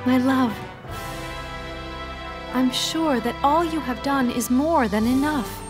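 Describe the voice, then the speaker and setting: Game heroine: devoted young wife, higher voice, slow and earnest, music underneath. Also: female voice. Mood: concerned. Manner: earnest, measured, formal. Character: devoted, gentle young wife of a strategist, Chinese war heroine. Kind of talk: scripted video game cutscene acting.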